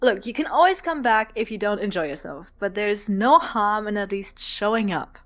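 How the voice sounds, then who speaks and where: A woman playing an animated, teasing young student. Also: medium pitch, normal speed, lightly acted. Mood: playful, teasing. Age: young adult. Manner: teasing, coaxing. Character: playful, persuasive young college student, teasing and outgoing. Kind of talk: naturalistic audio drama acting.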